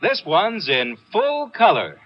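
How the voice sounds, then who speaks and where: An actor playing a laid-back, quietly macabre storyteller in a 1950s radio drama, with a folksy, offhand manner. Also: male voice, high voice, huge pitch swings, slow pace, heavily acted. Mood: wry, offhand. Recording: muffled old radio recording with music.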